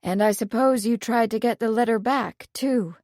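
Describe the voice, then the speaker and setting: A woman voicing a composed gentlewoman who speaks calmly and firmly. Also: a little high, dry recording, studio quality, lightly acted. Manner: calm, measured, refined.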